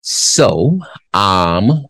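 A man in his forties from the Los Angeles area, hosting a commentary show. He reads a news story aloud, then gives his views in a measured way with many pauses. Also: on the low side, sing-song, gravelly, a bit bright, breathy edge, no room sound. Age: 40s. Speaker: show host.

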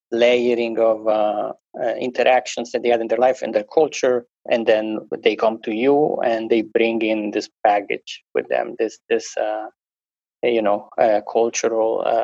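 A man's voice: mid-range voice, flat delivery, unhurried, darkish tone, no room sound. A man speaking English as a second language, talking through a podcast conversation with many restarts and fillers. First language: not English. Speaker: guest. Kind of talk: conversational interview talk. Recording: dull, dry lo-fi sound.

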